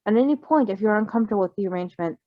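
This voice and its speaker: A woman voicing a calm, precise and quietly caring man with a formal, careful manner in a story reading. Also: mid-range voice, racing, no echo, narrow, tinny audio, lightly acted. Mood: gentle, reassuring.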